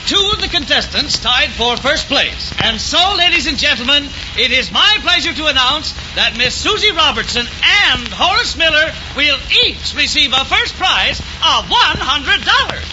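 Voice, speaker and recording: An actor playing a formal master of ceremonies at an amateur contest in a 1940s radio drama. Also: male voice, very high voice, big pitch swings, unhurried, few pauses, exaggerated voice. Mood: formal, proclaiming. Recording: muffled, noisy vintage radio.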